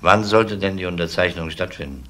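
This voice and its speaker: An actor playing a courteous, matter-of-fact police investigator questioning people in a crime radio play. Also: male voice, low voice, a little fast, bright, forceful tone. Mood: courteous, inquiring. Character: polite, probing male police investigator.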